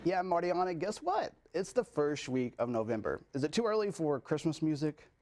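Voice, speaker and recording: A man's voice: fairly high pitch, big pitch swings, normal speed, darkish tone. A young man working as a student TV weather anchor and reporter, presenting in a chatty, eager way and often repeating his phrases. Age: young adult. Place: TV studio and news reports.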